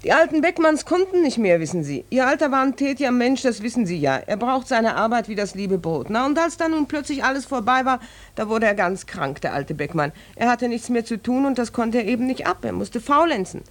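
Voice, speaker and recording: An actress playing a chatty, callous working-class housewife in a 1940s German radio drama, blunt and breezy about grim things. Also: female voice, a little high, big pitch swings, fairly quick. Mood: chatty, explaining.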